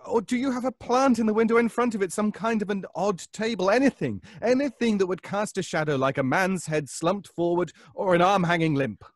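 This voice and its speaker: A man's voice: sits high, no room sound, clean studio sound. An actor playing a haunted, sleepless, obsessive man, anxious and stammering, in old-time radio suspense style. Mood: pleading, urgent. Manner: halting, stammering, pleading, melodramatically poetic.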